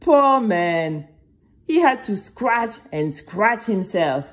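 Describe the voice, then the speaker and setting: A woman's voice: mid-range voice, big pitch swings, slow. A woman voicing a nervous, dreamy young girl who tells her own story with dramatic, chatty asides. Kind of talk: scripted first-person storytelling for children.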